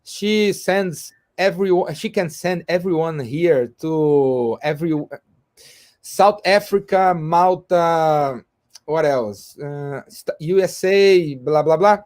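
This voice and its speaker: Slow-speaking man, halting English. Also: fairly high pitch, a little mellow. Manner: halting, repeats words, self-correcting. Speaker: co-host and English learner. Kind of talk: casual livestream chat.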